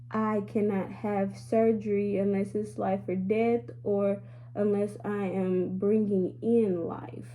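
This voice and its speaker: A woman in her late twenties chats openly with her audience in a relaxed vlog. Her voice is mellow and warm, her delivery sassy and confiding. A faint hum sits behind her. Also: medium pitch, rough recording. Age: 20s. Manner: chatty, candid and sassy, addressing viewers as y'all. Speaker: beauty vlogger. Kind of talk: casual chatty vlog talk.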